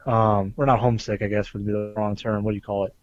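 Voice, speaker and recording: A man co-hosting a podcast who talks earnestly and explains things in detail, with many pauses and ums. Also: on the low side, little pitch movement, no echo, muffled recording. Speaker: podcast co-host, police officer. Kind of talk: casual chat.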